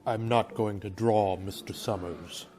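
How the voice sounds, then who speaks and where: An actor playing a stubborn young schoolmaster, firm and earnest, in a radio drama. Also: male voice, fairly low pitch, fairly even intonation, unhurried, darkish tone, lightly acted. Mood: reluctant, firm. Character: young dissenting village schoolmaster, quietly stubborn.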